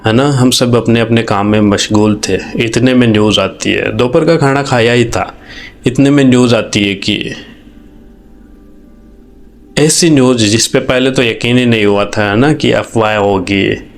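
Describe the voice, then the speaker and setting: A man's voice: mid-range voice, fairly even intonation, measured pace, pauses often, faint music behind. A man from India with an Indian accent, hosting an informal, reflective video commentary in an earnest, conversational way, mixing in English phrases. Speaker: video host.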